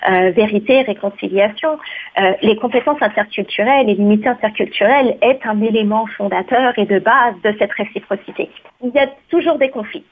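A woman who leads a community association, answering an interview question in an earnest, reflective way and often repeating words as she searches for them. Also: mid-range voice. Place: studio. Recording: phone-like lo-fi sound.

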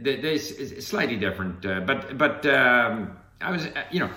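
Bright-voiced older man, echoey room. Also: medium pitch, lively intonation, moderate pace. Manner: rambling, thoughtful, many restarts. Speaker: language learner being interviewed. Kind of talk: casual conversation.

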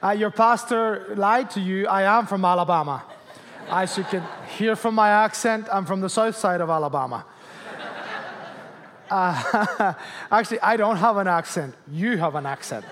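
A man, a guest pastor preaching a jokey, animated sermon to a church crowd. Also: high voice, normal speed. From Spain, Canary Islands. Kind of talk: sermon with humor. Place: church hall with audience. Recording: long reverb of a large hall.

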